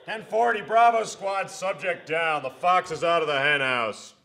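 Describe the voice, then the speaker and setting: An actor playing a brisk Navy SEAL commando in a comic radio drama, talking in clipped military jargon. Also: male voice, a little high, vocal fry, sharp tone. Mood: brisk, businesslike.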